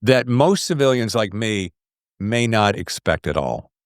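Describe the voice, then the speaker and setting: Middle-aged male podcast host. Lively intonation, low voice, chatty and emphatic, casual questioning. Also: measured pace, dry recording, studio quality. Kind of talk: casual conversational interviewing.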